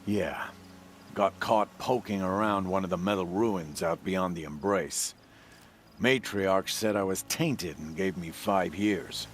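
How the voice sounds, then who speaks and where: A gruff, wary outlaw trader in a video game with a low-pitched voice. He talks in a guarded, dry, laconic way, over noticeable insect and nature ambience. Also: slow pace, slight background noise. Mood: subdued, resigned. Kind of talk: video game dialogue acting.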